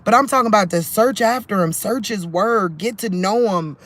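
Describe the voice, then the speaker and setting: A man's voice: sits high, normal speed, a bit bright. A man vlogging about faith into his phone, talking loosely and conversationally, restarting sentences and leaning on fillers. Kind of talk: rambling religious vlog to camera.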